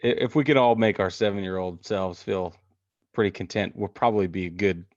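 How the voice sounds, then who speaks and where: A man's voice: low-pitched, fairly even intonation, a little fast, no room sound. A man speaking as a podcast co-host, chatting casually. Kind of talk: casual chat. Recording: dull lo-fi audio.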